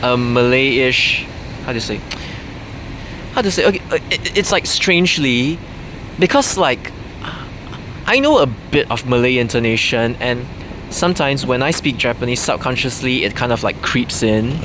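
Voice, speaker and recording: A man's voice: mid-range voice, expressive, moderate pace. Rambling young man over background hum. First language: English. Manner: rambling, many fillers and restarts. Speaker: vlogger. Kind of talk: unscripted casual monologue to camera.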